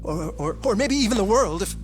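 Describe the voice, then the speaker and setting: An actor playing an eager, idealistic drug researcher in a video game, excitable and halting. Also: male voice, sits high, a little hiss or hum. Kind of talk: English video game cutscene acting.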